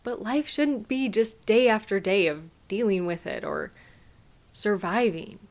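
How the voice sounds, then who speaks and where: Casting: caring female partner played by a woman for intimate audio drama; slow, tender, gently chiding, mid-pitched. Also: animated, natural voice. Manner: tender, soothing, gently questioning and unhurried. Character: caring, gently chiding partner arriving home to their sweetheart. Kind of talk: intimate scripted audio roleplay addressed to the listener.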